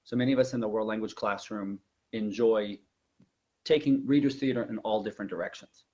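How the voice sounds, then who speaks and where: A man's voice: on the low side, a little fast, a little mellow. A man giving informal, emphatic instructional advice as a language teacher presenting a webinar.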